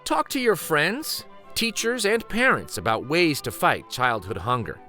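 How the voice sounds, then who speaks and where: Guy doing kids storytime narration, bright clear-cut voice, really swoopy pitch, takes his time. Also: soft background music. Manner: deliberate, animated, emphatic phrasing. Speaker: host reading children's picture books aloud. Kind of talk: expressive storytime read-aloud narration.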